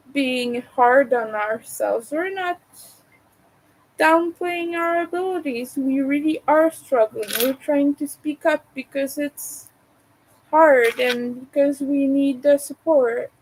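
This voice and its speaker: A woman's voice: high voice, takes it slowly, a little hiss or hum, rough recording. A woman who is not a native English speaker, chatting casually while she crochets, hesitating and restarting words. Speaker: crochet vlogger.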